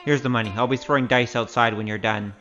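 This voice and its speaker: A man's voice: medium pitch, steady tone, fast talker, a bit bright, faint music behind, lightly acted. A man playing a wry, cocky wandering gambler in an audio drama, sardonic and teasing. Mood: wry, needling. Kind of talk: audio drama acting with spoken self-narration. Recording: dull, slightly noisy audio.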